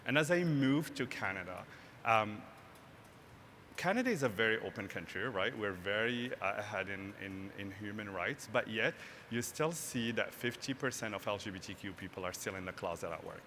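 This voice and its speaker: A young man from Morocco speaks in a low, forceful tone, earnest and quick to add "right?" as he explains. His voice rings with the long reverb of a big event hall, with a faint hum of noise underneath. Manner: earnest, frequent "right?" tag questions. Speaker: tech nonprofit founder, guest. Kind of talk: stage interview. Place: large hall, live event stage.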